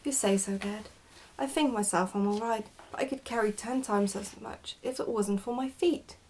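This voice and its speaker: A woman's voice: medium pitch, moderate pace, darkish tone, lightly acted, rough recording. A woman reading the part of a naive young goblin son in a storybook, plaintive and full of questions. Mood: complaining.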